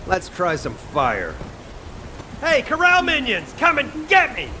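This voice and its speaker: An actor playing an eager, easygoing young fighter who loves cooking in a video game, casual and playful in manner. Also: male voice, very high voice, huge pitch swings, slow, bright, forceful tone, soft background music, exaggerated voice. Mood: cocky, playful.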